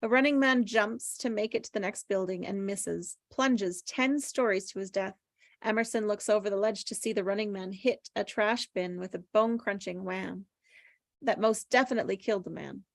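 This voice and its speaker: A woman's voice: mid-range voice, normal speed, gravelly, no echo, studio quality. A woman reading screenplay stage directions aloud as scripted narration at a table read.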